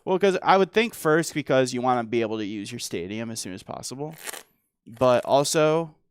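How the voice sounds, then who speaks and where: A man, a guest player chatting casually while playing a card game, his voice going hoarse. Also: mid-range voice, normal speed, slight background noise. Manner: relaxed, lots of "like" and "dude".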